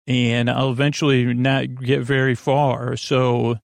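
A man hosting a bedtime podcast in a rambling, halting monologue full of digressions, with a gravelly, creaky voice. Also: mid-range voice, level tone, dry recording, clean studio sound. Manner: meandering, halting, full of digressions and trailing thoughts. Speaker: podcast host.

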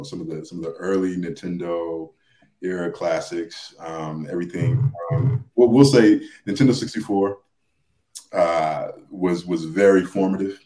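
Low-voiced man in a roomy space. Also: steady tone, mellow. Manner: halting, hedging delivery with repeated words. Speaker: podcast guest. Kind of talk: casual chat. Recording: roomy, echoey sound.

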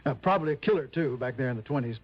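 An American actor playing a harried, grumbling insurance office manager in a vintage radio drama. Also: male voice, mid-range voice, expressive, very fast, close to an everyday voice. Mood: grumbling, confiding. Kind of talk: 1950s-60s American radio drama acting. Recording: dull vintage radio recording.

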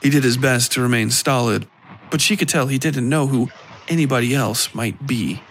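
Man narrating fiction in a gravelly voice. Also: medium pitch, moderate pace, fairly bright tone, a little hiss or hum. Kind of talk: scripted dark fiction narration.

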